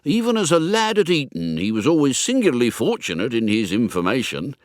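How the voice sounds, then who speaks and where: A man's voice: mid-range voice, huge pitch swings, fairly quick, no echo, clean studio sound, pitched above the performer's own voice. A man voicing a dignified, austere English butler with a formal, deferential manner in a comic audiobook. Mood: measured, confiding. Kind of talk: comic audiobook character acting.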